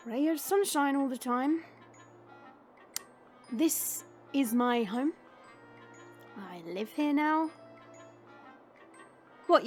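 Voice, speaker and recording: A brash young demon woman, played by a woman with an extremely high, swooping voice. She drawls sarcastically and theatrically, playful with danger underneath, in an audio drama. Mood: sarcastic.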